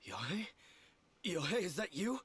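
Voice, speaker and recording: A man's voice: high voice, big pitch swings, a little slow, fairly bright tone, exaggerated voice. A man voicing a meek, bewildered young failed swordsman in a video game, timid and self-deprecating. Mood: dazed, distressed. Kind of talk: video game character acting.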